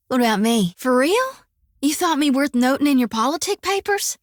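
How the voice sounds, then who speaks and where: A woman's voice: sits high, expressive, normal speed, no echo. An actress voicing a folksy, earnest young woman priest of death in a video game, with a drawl. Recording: dry studio recording.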